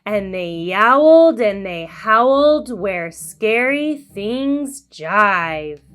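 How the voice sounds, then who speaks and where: A woman hosting a children's read-aloud, telling a storybook in an expressive, sing-song way. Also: medium pitch, smooth. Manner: animated, slow and deliberate sing-song delivery.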